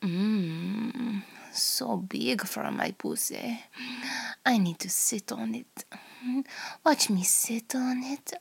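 Breathy, menacing Russian seductress spy, played by a woman. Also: on the high side, heavily acted. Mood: seductive, teasing. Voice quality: breathy, often whispering. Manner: slow, teasing, domineering. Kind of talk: erotic audio roleplay.

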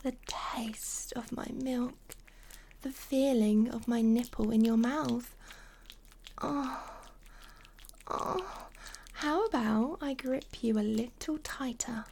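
A woman playing a doting, seductive lover in an intimate adult audio roleplay, breathy and coaxing, often slipping into whispers. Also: on the high side, slow pace, a little mellow, slight background noise. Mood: encouraging, aroused.

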